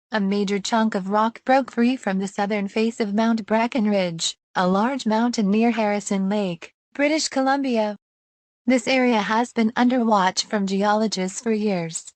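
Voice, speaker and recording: A woman reading a scripted news report as an anchor, formal and measured. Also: medium pitch, darkish tone, no room sound.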